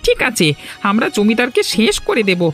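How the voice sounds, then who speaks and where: An aggrieved peasant villager from a cartoon drama voiced by a woman, highish and very animated in pitch. The delivery is plaintive and protesting, over light music. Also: normal speed. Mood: angry protest. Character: aggrieved, hungry villager in an angry crowd. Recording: music under the voice.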